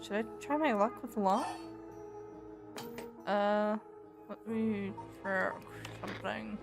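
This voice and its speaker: A woman's voice: medium pitch, slow pace, vocal fry. A woman from Scotland with a mixed Scottish and Canadian accent, chatting casually while streaming a game and laughing easily. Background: game music.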